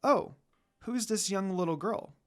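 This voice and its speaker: A woman reading the part of a friendly young female wolf demon princess in a story, kind and soft-spoken. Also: mid-range voice, measured pace, natural voice. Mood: curious.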